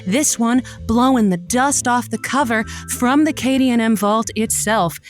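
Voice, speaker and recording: A woman's voice: fairly high pitch, moderate pace, faint music behind, close to an everyday voice. A woman playing a feisty, teasing host of a comedic call-in radio show. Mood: playful, breezy.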